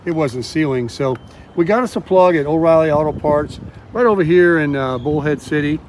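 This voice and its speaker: Older male vlogger, a bit high-pitched, chatty with lots of fillers, filmed on a camera at arm's length outdoors with faint background noise. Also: normal speed. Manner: chatty, animated, many fillers. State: out of breath at times. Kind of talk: casual vlog narration. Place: outdoors at a boat ramp. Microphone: camera or selfie stick at arm's length.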